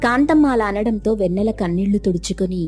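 A woman's voice: medium pitch, animated, quick pace, darkish tone, soft background music. A woman narrating a scripted moral story as its storyteller.

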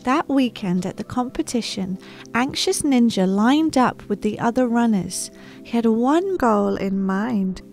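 A woman in her thirties with a fairly high voice reads a children's picture book as a storytime host. Her narration is expressive and recorded close to the mic. Music plays behind her. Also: measured pace. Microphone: close microphone on a boom arm.

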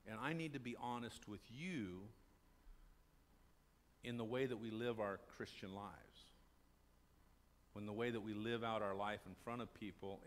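An older man preaching a sermon in a small church, talking to the congregation in a conversational way and answering his own questions. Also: mid-range voice. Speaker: pastor. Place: small church sanctuary, indoors.

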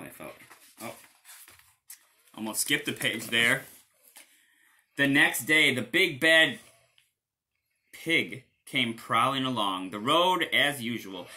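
A man, reading a children's storybook aloud in an animated style. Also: mid-range voice. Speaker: storybook reader.

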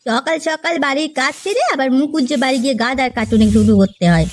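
A woman's voice: sits high, quick pace. A woman voicing a hardworking village wife and mother in a cartoon story, no-nonsense and complaining. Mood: grumbling.

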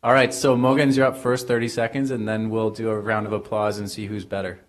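A young man hosting a live event, moderating in a brisk, upbeat way. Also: on the low side, little pitch movement. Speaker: event host. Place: small event stage with audience. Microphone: handheld mic.

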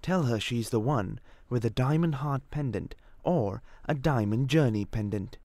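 A man's voice: mid-range voice, a little slow, darkish tone, dry recording, studio quality. A man working as a voice-over artist, giving a polished commercial and promo read. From Singapore.

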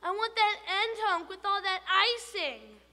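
A woman voicing a pushy, greedy talking sweet tooth that nags loudly for candy in a storybook. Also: extremely high pitch, lively intonation, a little slow, long reverb tail, pitched above the performer's own voice, exaggerated voice. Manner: brash, wheedling, loud.

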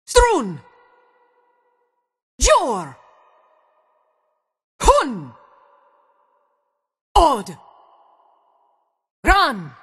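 Very high-pitched fierce warrior, shouting. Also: female voice, wildly swooping pitch, very slow, long pauses, exaggerated voice. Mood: fierce, commanding. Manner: short, forceful, isolated cries. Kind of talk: fantasy video game combat barks and word shouts.

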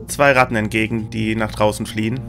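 A man's voice: on the low side, level tone, a little fast, fairly bright tone. A man improvising narration as a game master, hesitating and searching for words. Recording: clear guitar music behind the voice. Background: plucked guitar music.

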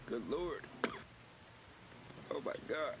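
A man's voice: fairly high pitch, animated, slow pace. A man bantering casually as a player over an online game's voice chat. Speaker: fellow player. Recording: noisy in-game voice chat.

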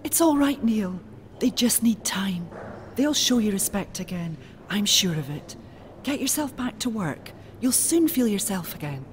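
An actress playing a gentle, devoted, worried wife in an audio drama, soothing and earnest. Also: female voice, medium pitch, big pitch swings, unhurried, a little hiss or hum. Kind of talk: full-cast audio drama acting.

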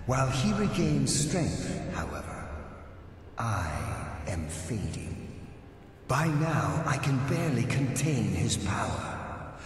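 A grave spectral tomb guardian from a fantasy game. He speaks slowly and solemnly, with sweeping intonation, his words ringing through a cavernous reverb. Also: medium pitch. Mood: weary, grave. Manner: grave, slow, deliberate delivery. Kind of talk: video game character dialogue. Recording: cavernous echo, long reverb, faint noise.

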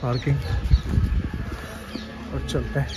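A man's voice: mid-range voice, level tone, takes it slowly, very noisy. A man chatting casually as a travel vlogger, explaining things to viewers in mixed Hindi and English. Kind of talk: casual travel vlog chat.

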